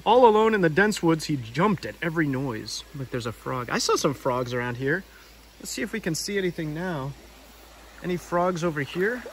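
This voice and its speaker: A man's voice: fairly high pitch, lively intonation, normal speed, slight background noise. A man hosting a read-aloud outdoors, narrating a storybook with casual asides. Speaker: storybook reader and video host. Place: outdoors by a river.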